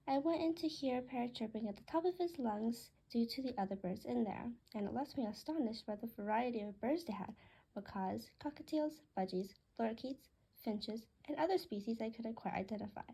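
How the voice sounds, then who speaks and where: A woman reading her own memoir aloud as a narrated story, with a husky, creaky voice. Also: on the high side, brisk, dark tone, rough recording.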